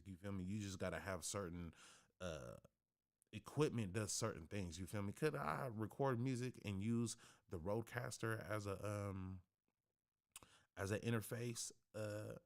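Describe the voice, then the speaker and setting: A man with a deep, bassy voice talks straight into a studio microphone in his home studio. His speech is blunt, streetwise and full of cursing and slang, with a low-pitched voice. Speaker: livestream host. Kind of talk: casual livestream talk. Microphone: studio podcast microphone.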